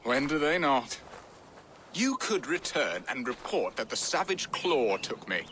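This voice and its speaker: A man's voice: mid-range voice, very animated, a little slow, a bit bright, slight background noise. A man playing a theatrical, self-mocking Saxon lord in a game cutscene, with florid, wry speech. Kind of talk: dramatic game cutscene acting.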